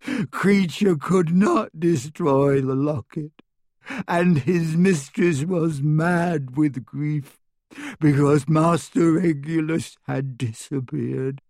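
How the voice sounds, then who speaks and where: Slow, tearful old house-elf. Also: male voice, a little high, darkish tone, no room sound, studio quality, voice pushed up. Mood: moaning, despairing. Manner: sobbing, halting, stammering, speaks of himself in third person. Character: frightened old male house-elf servant, abject and devoted. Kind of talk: audiobook character acting.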